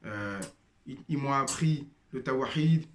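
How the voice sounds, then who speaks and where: A man's voice: medium pitch, a little slow, a little hiss or hum. Man talking in an echoey room. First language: not Arabic-speaking. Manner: hesitant, many fillers and restarts; addresses listeners as brothers and sisters. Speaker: former member sharing testimony. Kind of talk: personal testimony monologue.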